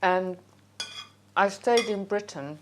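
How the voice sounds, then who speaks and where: A middle-aged woman with a Hungarian accent tells her own story casually and haltingly, thinking aloud as a documentary interviewee. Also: mid-range voice, slight background noise. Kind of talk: casual personal storytelling to camera.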